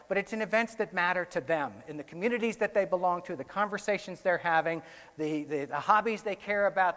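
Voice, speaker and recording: A man's voice: sits high, a bit bright. American man presenting in an echoey hall. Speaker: conference keynote speaker. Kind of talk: conference presentation on research findings. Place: indoor conference hall, on stage. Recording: echoey hall with long reverb.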